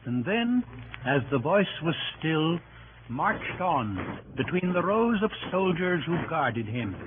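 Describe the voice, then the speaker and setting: Animated, higher-pitched male radio narrator. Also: slow pace, noisy background. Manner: formal, dramatic phrasing. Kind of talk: scripted 1930s radio drama narration. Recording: tinny old radio broadcast, music behind.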